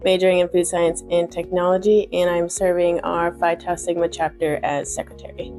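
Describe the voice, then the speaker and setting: Female American PhD student, lowish voice, level self-introduction. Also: level tone, normal speed, darkish tone, faint music behind. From United States.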